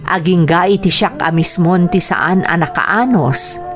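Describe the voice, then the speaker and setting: A woman's voice: fairly low pitch, measured pace, faint music behind, close to an everyday voice. An actress with an Ilocano accent playing a hurt, weary young wife and mother in a radio drama. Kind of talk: Filipino radio drama acting.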